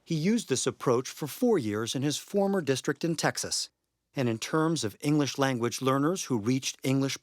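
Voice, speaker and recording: News reporter with lively, swinging intonation. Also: mid-range voice, no echo, studio quality. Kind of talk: scripted news narration.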